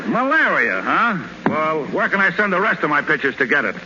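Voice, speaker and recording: An actor playing a dry, wisecracking middle-aged baseball team manager in a 1930s radio drama. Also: male voice, a little high, sing-song, a little fast, very noisy. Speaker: team manager called Cap. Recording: muffled old 1930s radio broadcast.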